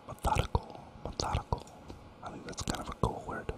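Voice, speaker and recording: A man giving whispered ASMR commentary while playing a strategy game, rambling and thinking aloud, toying with repeated words, close to the microphone. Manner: soft and unhurried, thinks aloud. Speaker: gaming channel host.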